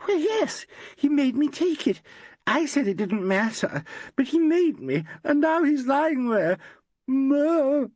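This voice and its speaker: A man's voice: extremely high pitch, big pitch swings, measured pace, no room sound, lo-fi sound, pitched above the performer's own voice, heavily acted. A man voicing a hysterical young actress who wails and sobs. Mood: tearful. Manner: sobbing, wailing.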